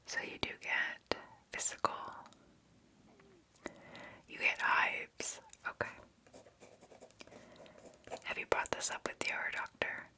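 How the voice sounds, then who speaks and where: A woman in her twenties speaking in a soft, unhurried whisper, gently soothing like a therapist in an ASMR roleplay, close to the microphone. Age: 20s. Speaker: ASMR performer playing a therapist. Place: small cozy indoor room. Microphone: close ASMR microphone, just off camera.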